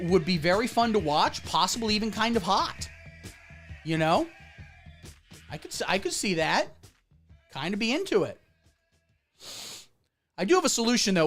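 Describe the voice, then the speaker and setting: A man on a comedy livestream rants with mock outrage, his pitch leaping all over as he goes. Faint music plays under his voice. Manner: loud mock-outraged ranting, then muttering asides while browsing. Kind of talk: satirical livestream rant.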